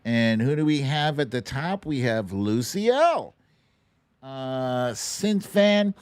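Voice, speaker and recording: A man's voice: mid-range voice, big pitch swings, takes it slowly, darkish tone, clean tone, no room sound. Playful male livestream host, dry close sound. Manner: playful, chatty. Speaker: host of a live online show. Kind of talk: casual livestream quiz banter, reading out viewers' names.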